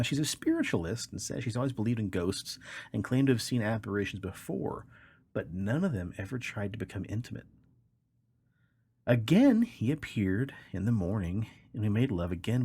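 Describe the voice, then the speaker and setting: A man's voice: lowish voice, darkish tone, slightly rough. A man hosting a podcast, reading odd news stories aloud in a wry, tongue-in-cheek way. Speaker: podcast host.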